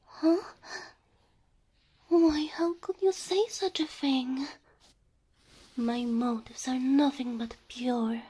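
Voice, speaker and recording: A woman plays a sweet, submissive girlfriend in an ASMR roleplay. Her voice is high, soft and breathy, and she takes her time. She coaxes tenderly, close to the microphone. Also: steady tone. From Slavic Eastern Europe. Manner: tender, slow, coaxing. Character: gentle, doting, submissive young cow-girl girlfriend.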